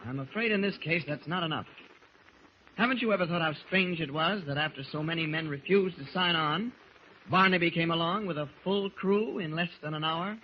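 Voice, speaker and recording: A level-headed investigator hero in a 1940s radio serial, acted by a man, with a slightly high male voice. He is courteous but direct and probing, on a muffled recording with faint noise. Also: a little fast, close to an everyday voice. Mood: blunt, insistent. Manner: polite but blunt, probing.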